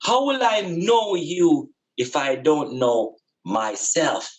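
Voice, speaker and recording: High-voiced Jamaican man, preaching reflectively. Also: lively intonation, slow pace. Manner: emphatic, preaching, with repeated phrases and rhetorical questions. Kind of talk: reflective spiritual talk.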